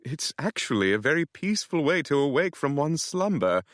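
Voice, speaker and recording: A man's voice: a little high, sing-song, moderate pace, a bit bright, dry recording, clean studio sound, voice pushed up. A man voicing a small, fussy male butler with a British accent and a formal, overly polite manner.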